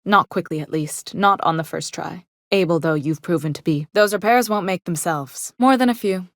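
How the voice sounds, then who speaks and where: An actress playing a capable, no-nonsense chief engineer and station captain in a video game, with a measured, dry, businesslike manner. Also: female voice, on the low side, no echo, lightly acted. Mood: dry, approving. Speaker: game character voice. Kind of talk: video game character dialogue.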